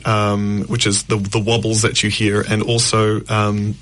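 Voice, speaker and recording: A man's voice: fairly low pitch, completely flat delivery, unhurried, a bit bright. Man, thirties, radio guest, rambling storyteller, laughs a lot, quotes people. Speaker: guest musician. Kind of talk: casual radio interview.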